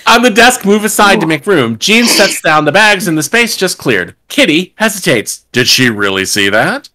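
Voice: mid-range voice, very animated, normal speed, pushed and bright. A person on a video call reading stage directions aloud, with exasperated, sweary joking asides. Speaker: table read participant reading stage directions. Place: home room, remote video call.

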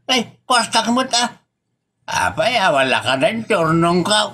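Guy doing old ilocano village dad in a comedy, defensive and flustered, really high voice, bit noisy. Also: very animated, a little slow. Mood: flustered, protesting. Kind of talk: comedy drama dialogue.

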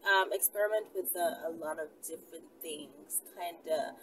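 A woman's voice: low-pitched, normal speed. A woman in her thirties chatting casually and unscripted to viewers, musing aloud in a rambling way with rhetorical questions. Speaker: vlogger, channel host. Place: inside a car by a street, daytime. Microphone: camera at arm's length. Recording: distant mic, noisy car interior.

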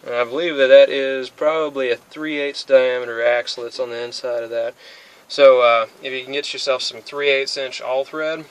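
A man's voice: mid-range voice, moderate pace, few pauses, clean tone, low-quality recording. A young man in his twenties giving a casual, rambling how-to explanation outdoors, full of fillers and restarts, a little spacey from being sick. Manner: rambling, many fillers and restarts, flat delivery. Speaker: DIY hobbyist. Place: outdoors by a car, then under a metal-roofed shed.

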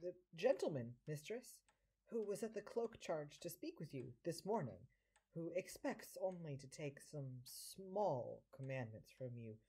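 Woman playing a husky, scheming devil manservant. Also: low-pitched, unhurried, exaggerated voice, low-quality recording. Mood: fawning. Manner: flattering, insinuating, theatrical. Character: impish devil posing as fawning, scheming manservant. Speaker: amateur actor. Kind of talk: early modern stage comedy acting in an audio drama.

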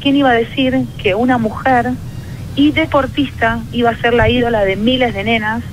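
Argentine woman on a radio show, pretty high voice, earnest and a bit halting, faint hiss behind. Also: steady tone, lo-fi sound. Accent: Argentine Spanish. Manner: earnest, thoughtful, many restarts and fillers. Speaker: guest, sociologist and field hockey player. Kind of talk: radio interview answers.

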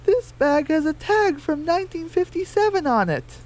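Man putting on a squeaky high, zany cartoon hen. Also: very animated, moderate pace, faint music behind. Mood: excited, goofy. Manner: exclamatory, goofy. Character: zany, reckless, upbeat female cartoon chicken. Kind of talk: amateur comic radio drama.

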